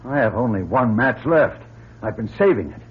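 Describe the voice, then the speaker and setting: A man's voice: mid-range voice, animated, normal speed, husky. An actor playing a resolute man leading trapped companions in a radio drama, giving urgent, commanding instructions. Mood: tense, hopeful. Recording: dull radio recording with faint hum.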